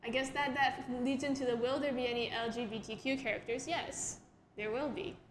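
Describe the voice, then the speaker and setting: A woman's voice: high voice, fairly even intonation, a little fast, background noise. A young woman, a comic artist, chatting casually on a livestream while she draws, reading out viewer questions and laughing often in a self-deprecating way. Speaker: webcomic artist answering chat questions. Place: home room while drawing. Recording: echoey room with music behind.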